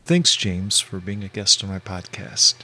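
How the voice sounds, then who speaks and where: A man's voice: low-pitched, expressive, unhurried, bright, forceful tone, faint music behind. A male podcast host asking questions in a hesitant way, with many fillers and restarts. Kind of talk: casual interview.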